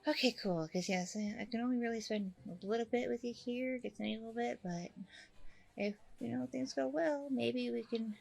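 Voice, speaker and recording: A woman's voice: on the high side, brisk, vocal fry, a little mellow, faint music behind. A woman playing a chatty, apologetic blind date in an ASMR audio roleplay, rambling along casually and a little hesitantly. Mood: friendly, chatty.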